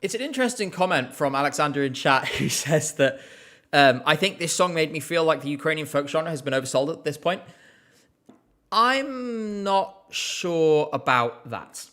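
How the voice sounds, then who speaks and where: A man's voice: a little high, animated, moderate pace, pushed and bright. A man hosting a casual live stream, chatting in a rambling way with lots of fillers and a slight cough. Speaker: livestream host.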